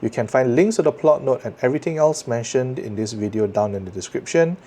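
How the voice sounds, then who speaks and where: A man with a Singaporean accent presenting a scripted tech product review to viewers in a measured, articulate way. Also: medium pitch, animated, mellow.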